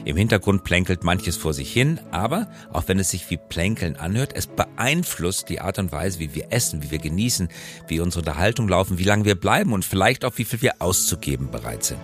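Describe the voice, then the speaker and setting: A man's voice: sits low, sing-song, a little fast, soft background music. A man hosting a podcast in a conversational style, with a wry, playful manner. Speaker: podcast host.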